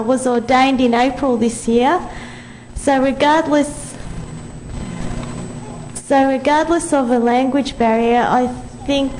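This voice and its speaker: A woman from Australia, a newly ordained reverend, giving an earnest, slightly halting formal thank-you speech. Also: sits high, darkish tone, slight background noise, poor audio. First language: English.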